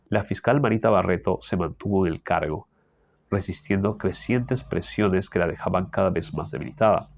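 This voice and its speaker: Low-voiced man reading political commentary. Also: darkish tone, no room sound. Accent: Peruvian Spanish. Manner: frequent throat clearing and tag questions. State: allergic, clearing his throat. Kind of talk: reading a news column aloud with personal asides.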